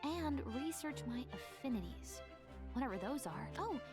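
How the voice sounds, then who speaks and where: A high, lilting young girl student of magic, eager and impish, in anime game style. Her lines swing freely in pitch, with music clearly playing behind her. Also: sing-song, moderate pace. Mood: playful, curious.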